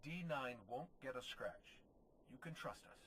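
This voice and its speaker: Man as a composed, mid-pitched Jedi hero. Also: a little slow, a bit bright, a little hiss or hum, close to an everyday voice, rough recording. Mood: composed, assured. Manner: composed, earnest. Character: steady, reassuring heroic male Jedi commander. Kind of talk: video game cutscene acting.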